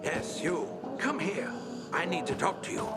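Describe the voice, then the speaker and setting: Fervent older flatterer, higher voice, very animated and theatrical, game character. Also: male voice, unhurried, over loud music. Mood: fervent, flattering. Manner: theatrical, emphatic. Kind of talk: video game voice acting.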